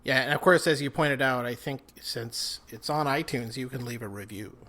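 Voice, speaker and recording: A man's voice: mid-range voice, normal speed, sharp tone, a little hiss or hum. A man co-hosting a casual podcast, with dry, wry humor and frequent laughs. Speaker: podcast co-host and editor. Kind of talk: casual chat.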